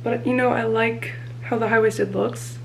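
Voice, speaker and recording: A young woman with a slightly high voice talks her thoughts out loud while tidying and sorting clothes. It sounds like a camera mic in a small apartment, with a faint hum underneath. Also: steady tone, moderate pace, creaky, poor audio. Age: 20s. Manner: chatty, thinking aloud while tidying and packing. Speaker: vlogger. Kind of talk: casual vlog chat. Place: small apartment bathroom and bedroom, indoors.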